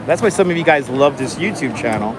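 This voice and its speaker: Calm-voiced man live streaming on a noisy street. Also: mid-range voice, brisk. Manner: calm, enunciated, chatty with viewers. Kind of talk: casual live stream chat. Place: outdoors on a city street waiting in line. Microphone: phone held for a live stream. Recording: noisy outdoor phone stream. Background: city traffic and street noise.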